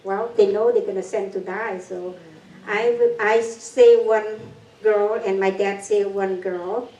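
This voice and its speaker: An older woman from Cambodia with a Cambodian accent, giving a personal testimony talk in halting, broken English with nervous laughs. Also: medium pitch, fairly even intonation, warm tone. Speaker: guest speaker. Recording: echoey room, far from mic.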